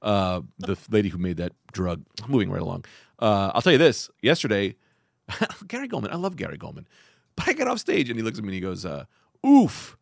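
Host, young man, rapid animated delivery, laughs mid-story, dry booth. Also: lowish voice, gravelly, no room sound. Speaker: podcast host. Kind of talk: casual podcast banter. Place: podcast studio. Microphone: studio mic.

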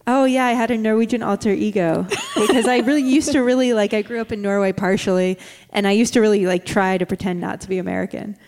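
A woman guest from California talks about her memoir in a lively, self-deprecating way, full of "like" and "you know". Her voice carries a long reverb tail. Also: mid-range voice, quick pace, hardly any pauses. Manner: animated, self-deprecating, many fillers. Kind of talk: casual interview chat.

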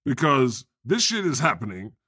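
A man's voice: medium pitch, very animated, moderate pace, pushed and bright, slightly rough, no room sound, studio quality, full character voice. Man playing a brash, sweary God, closely miked. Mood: insistent, ominous. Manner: emphatic, sweary, insistent. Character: God, brash, foul-mouthed, know-it-all male deity hosting. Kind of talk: comedic podcast banter.

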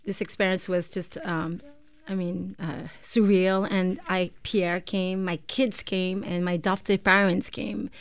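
Smooth-voiced woman guest. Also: fairly low pitch. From Montreal, Canada. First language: French. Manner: animated, halting storytelling with many restarts and laughs. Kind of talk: casual radio chat. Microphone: studio microphone.

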